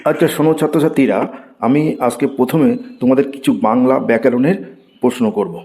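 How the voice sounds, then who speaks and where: A man's voice: medium pitch, fast talker, warm tone, natural voice. A man voicing an exasperated, short-tempered male schoolteacher in a cartoon comedy. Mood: stern. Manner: scolding, exasperated outbursts. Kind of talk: Bengali cartoon comedy voice acting.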